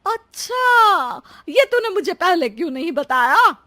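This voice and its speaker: A woman playing a demanding, nosy elderly mother-in-law in an animated moral story, with a bossy, wheedling manner. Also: high voice, slow, heavily acted. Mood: querulous.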